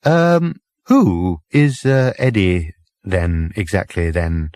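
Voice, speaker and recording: A man voicing a bewildered, hesitant, mildly sceptical Englishman in an audiobook, with halting, puzzled delivery. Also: low-pitched, a little mellow, no echo, clean studio sound, voice pushed down. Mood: hesitant, muddled.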